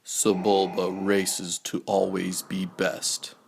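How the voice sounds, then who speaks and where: Slow, low-voiced male storybook narrator. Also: completely flat delivery, creaky, background noise. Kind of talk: scripted children's bedtime story reading.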